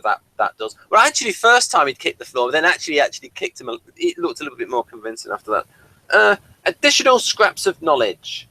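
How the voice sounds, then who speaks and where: Male review host, droll delivery, bright and animated, dry recording. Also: on the high side, gravelly, no room sound, poor audio. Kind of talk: wry reading of episode review notes.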